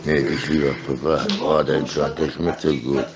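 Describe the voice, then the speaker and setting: A man's voice: very deep voice, level tone, normal speed, lo-fi sound. A middle-aged man in a casual, rambling monologue, insistent and disjointed, repeating himself, speaking close into a phone.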